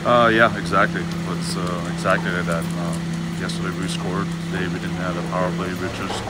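A man in his forties with a German accent, a hockey coach answering postgame questions in halting speech full of fillers and restarts. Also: deep voice, flat delivery. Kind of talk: postgame press interview. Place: arena hallway, indoors. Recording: loud background noise.

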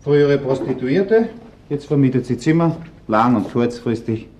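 An actor playing a deferential junior police officer, formal and obedient, in a radio crime drama. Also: male voice, medium pitch, moderate pace, a little mellow, natural voice. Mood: dutiful. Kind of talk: German-language radio crime drama acting.

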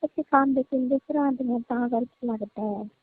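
A woman's voice: high-pitched, normal speed, dry recording. A young adult woman, chatting casually and privately on the telephone. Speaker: niece on a phone call. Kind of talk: casual private phone chat. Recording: tinny, band-limited phone call recording.

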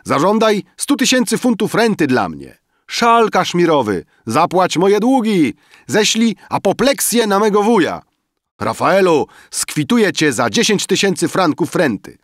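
A man's voice: high voice, very animated, a little slow, no room sound, clean studio sound, pitched above the performer's own voice. A man voicing a greedy female courtesan in an audiobook, gushing and wheedling as she makes demands. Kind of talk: dramatized audiobook dialogue.

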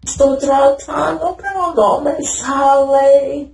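A woman groans, moans and chants the same syllables again and again, in a fairly high voice with a gravelly edge. She talks slowly, drawing her sounds out. The webcam picks her up in an echoey room with a faint hiss behind her. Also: fairly even intonation, a little mellow. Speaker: person receiving deliverance prayer. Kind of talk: speaking in a trance-like state during deliverance. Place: indoor room at home. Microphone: webcam on a video call. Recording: echoey video call audio with faint noise.

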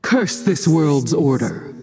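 Game role: cold chaos goddess, solemn and commanding, unhurried delivery, lively intonation. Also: female voice, low voice, exaggerated voice. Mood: cold, imperious. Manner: solemn, commanding, detached, formal. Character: imperious otherworldly chaos goddess, cold and abstract. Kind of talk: video game character voice lines.

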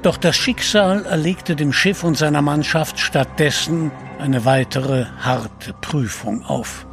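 An actor narrating a sea adventure audio drama as a solemn storyteller, measured and literary. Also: male voice, fairly high pitch, gravelly, soft background music.

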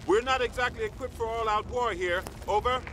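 Weary army sergeant in a war game, higher voice, no nonsense, noise behind. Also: male voice, fairly quick, pushed and bright. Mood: businesslike. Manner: commanding, no-nonsense. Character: gruff, weary, practical male army sergeant. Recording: clear battlefield and vehicle noise behind.

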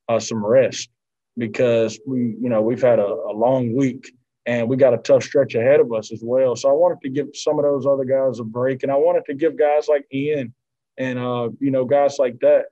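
Man, head coach, postgame press answers, warm mellow tone, measured, lots of fillers, video call. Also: mid-range voice, muffled recording. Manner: earnest, measured, frequent fillers. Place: indoor press backdrop room. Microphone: video call, seated at desk with mask.

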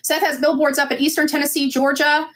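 A middle-aged woman with an extremely high voice talks on a livestream. She argues her case insistently, in a combative way. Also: little pitch movement, a little fast. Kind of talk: argumentative livestream monologue.

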